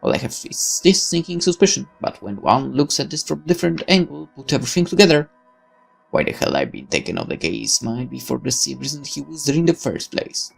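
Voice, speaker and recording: Animated, creaky-voiced male gaming host. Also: mid-range voice, brisk, soft background music, poor audio. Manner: theatrical, animated, swearing. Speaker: gaming video host reading narration aloud. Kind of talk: dramatic expressive read-aloud of game narration with asides.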